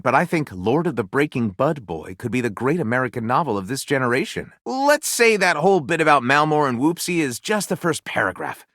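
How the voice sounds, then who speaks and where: A man's voice: mid-range voice, a bit bright, no room sound, studio quality. An actor playing a glib male would-be author in a video game, narrating pulp stories with wry, mock-grand theatrical delivery. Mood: mock-impressed. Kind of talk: scripted game dialogue, comic narration.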